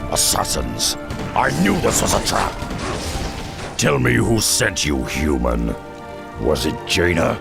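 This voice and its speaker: An actor playing a huge, wary beast-man warrior in a fantasy game, with a growling, gravelly voice and a blunt, stern manner. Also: low voice, very animated, slow pace, pauses often, exaggerated voice. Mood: furious. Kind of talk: fantasy game character acting. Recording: music under the voice.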